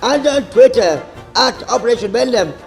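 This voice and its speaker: A man's voice: extremely high pitch, lively intonation, normal speed, gravelly. A man announcing a scripted comedy show sign-off as one of its cast. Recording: music and crowd behind the voice.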